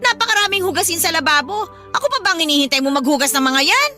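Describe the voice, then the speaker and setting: A woman's voice: very high-pitched, lively intonation, quick pace, bright, forceful tone, soft background music, full character voice. A woman playing a scornful, scolding middle-aged mother-in-law in a Tagalog radio drama, with a Filipino accent and a sarcastic, accusing way of talking.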